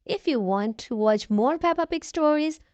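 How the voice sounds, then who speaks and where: Woman, high voice, very animated intonation, expressive children's storybook narration, dry close sound. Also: moderate pace, no room sound. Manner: expressive, addressing children directly. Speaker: children's story channel host and reader. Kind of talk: read-aloud storybook narration for young children.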